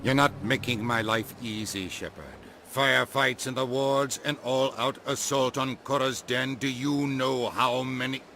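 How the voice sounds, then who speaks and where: An actor playing an irritable, curt human ambassador in a video game. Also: male voice, medium pitch, fairly even intonation, measured pace, hardly any pauses, bright, forceful tone, faint music behind. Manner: curt, exasperated.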